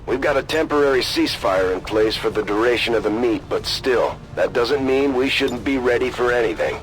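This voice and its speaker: An actor playing a businesslike military resistance officer in a video game, giving scripted briefings with authority. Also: male voice, medium pitch, lively intonation, a little fast, close to an everyday voice, low-quality recording. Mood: businesslike, firm. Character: gruff male military resistance operations officer briefing squads. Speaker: mission briefer.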